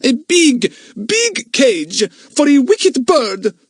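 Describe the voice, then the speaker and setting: A bossy eleven-year-old foreign boy, high-pitched and halting, barking orders in broken phrases. The voice swings up and down widely and sounds slightly off-mic in a dry recording. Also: big pitch swings, dry recording, full character voice. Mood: commanding. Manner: halting, commanding, repeats himself.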